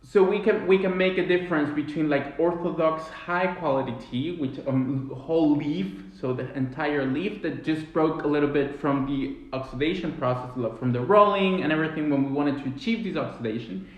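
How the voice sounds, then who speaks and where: A man, hosting a live-streamed tea tasting chat in an animated way with many restarts, recorded indoors at a table on a webcam. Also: fairly high pitch, normal speed, few pauses, dull audio. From Chile. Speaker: tea company host. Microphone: webcam or phone at table distance. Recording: very echoey room.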